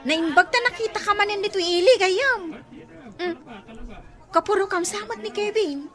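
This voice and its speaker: A gossipy young village girl played by a woman with a very high, sharp voice that teases as it talks. She sounds like a friend passing on the latest rumour in a low-budget comedy drama. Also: normal speed, soft background music.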